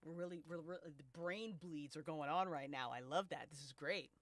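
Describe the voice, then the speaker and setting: A woman's voice: low voice, normal speed. A woman hosting a casual live chat, talking in an emphatic, slangy, sweary way. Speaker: host. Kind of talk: casual live chat with podcast cast and crew.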